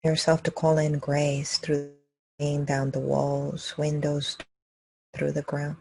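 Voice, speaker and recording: Husky-voiced woman leading a calm guided meditation. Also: deep voice. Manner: calm, unhurried, with long pauses between phrases. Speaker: meditation teacher leading a live group session. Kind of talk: guided meditation, slow and gentle instruction.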